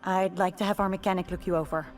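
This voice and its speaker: Woman acting game resistance leader lady, calm n in charge, measured, bit of music behind. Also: on the low side, close to an everyday voice. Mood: composed. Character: composed resistance leader woman planning missions, calm and commanding. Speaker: mission commander. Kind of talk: video game cutscene acting.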